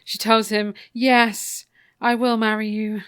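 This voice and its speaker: A woman's voice: medium pitch, level tone, takes it slowly, a bit bright, clear, resonant tone. A woman co-hosting a podcast, casually recapping a book in an irreverent, wry, sometimes sweary way. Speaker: podcast co-host.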